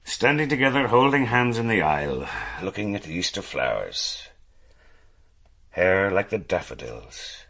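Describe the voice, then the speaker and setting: A gentle parish priest in a radio play. His voice is creaky yet bright, medium in pitch, and he talks in a measured, wistful, drily humorous way. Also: sing-song, exaggerated voice. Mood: wistful, wry. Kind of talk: radio drama acting.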